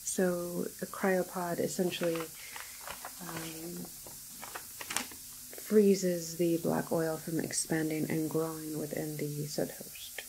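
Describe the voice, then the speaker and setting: Woman doing an asmr doctor, calm n clinical, soft and flat, kinda hesitant, bit of background noise. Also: sits low, poor audio. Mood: grave, explanatory. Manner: hesitant, measured, explanatory. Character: calm, clinical woman doctor at an alien-virus quarantine facility. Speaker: ASMR roleplay performer. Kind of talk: ASMR roleplay, soft-spoken with whispered stretches.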